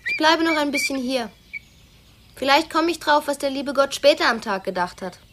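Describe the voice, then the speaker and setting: An actress playing a troubled but determined wife in a German radio play, soft, intimate and pointed. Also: female voice, high voice, moderate pace. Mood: stubborn.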